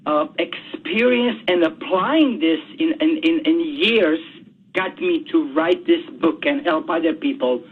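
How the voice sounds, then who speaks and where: A man, a guest author speaking in a radio interview by phone, halting and often repeating words as he searches for them. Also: fairly high pitch, slightly rough. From Bosnia. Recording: tinny phone line.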